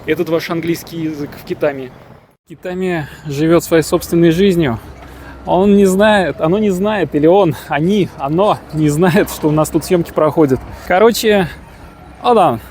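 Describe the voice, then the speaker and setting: A man's voice: a little high, normal speed, darkish tone, a little hiss or hum. A man casually narrating his travel vlog outdoors, joking, boasting and using slang. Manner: jokey, boastful, slangy storytelling. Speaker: travel vlogger.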